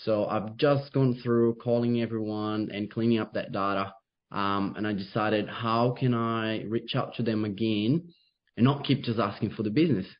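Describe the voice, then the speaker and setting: A man's voice: fairly low pitch, fairly even intonation, moderate pace. A young man from Brazil with a Brazilian Portuguese accent, a real estate agent speaking in an interview over a video call, upbeat and loose, with lots of fillers. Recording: dull lo-fi video call audio.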